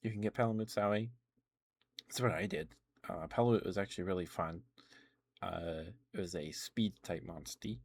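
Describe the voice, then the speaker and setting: A man livestreaming casual ASMR chat about games, talking in a rambling, halting way. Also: deep voice, creaky, dry recording.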